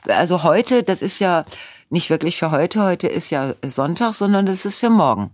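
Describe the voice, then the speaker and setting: A woman's voice: lowish voice, animated, normal speed, darkish tone, no echo. A woman in her fifties chatting playfully, laughing often as she reads horoscopes aloud. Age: 50s. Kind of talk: casual chat.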